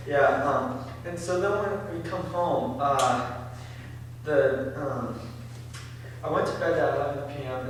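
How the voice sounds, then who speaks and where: A teenage boy giving an informal talk to an audience, halting and full of ums and likes. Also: mid-range voice. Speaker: presenter. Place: senior center hall. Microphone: distant room mic. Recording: echoey hall from far off, faint hiss.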